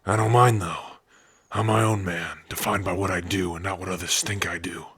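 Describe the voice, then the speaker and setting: A man playing a rough but kind-hearted orc outcast in an ASMR fantasy roleplay, with a gruff, raspy voice and an easygoing, wry way of addressing his companion. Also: sits low, fairly even intonation, normal speed, fairly bright tone, no room sound, heavily acted. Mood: warm, amused.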